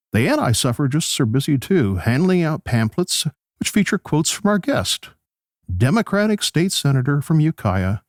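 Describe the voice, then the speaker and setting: A man's voice: mid-range voice, dry recording, close to an everyday voice. Guy doing a 1910s radio announcer, showy, swoops up and down, really dry studio sound. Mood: upbeat, showy. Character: showy male 1911 radio host moderating a debate. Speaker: radio host. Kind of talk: old-time radio show hosting.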